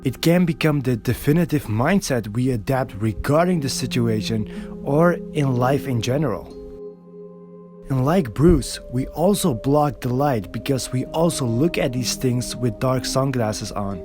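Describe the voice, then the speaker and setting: A man narrates a scripted video essay in an earnest, reflective tone. His voice sits at an ordinary middle pitch. Soft music plays faintly behind him. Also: animated, a little slow. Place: recorded alone.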